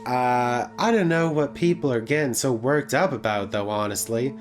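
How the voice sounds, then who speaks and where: A man's voice: mid-range voice, animated, measured pace, soft background music, voice pushed up, close to an everyday voice. A man voicing a brash, reckless woman who drives a taxi in a visual novel, offhand and slangy. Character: brash, reckless, thrill-seeking female Australian taxi driver. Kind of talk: character voice in a visual novel read-aloud.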